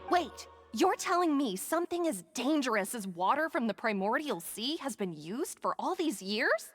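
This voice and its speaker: Excitable little game sidekick girl, high and swoopy. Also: moderate pace, soft background music. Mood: astonished. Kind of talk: video game drama acting.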